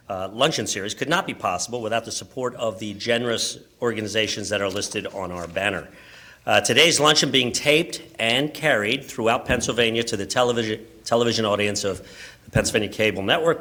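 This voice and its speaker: A man's voice: mid-range voice, sharp tone. An American man hosting a press club luncheon, giving a speaker introduction with wry asides. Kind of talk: event introduction speech. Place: luncheon event room, speaking to an audience.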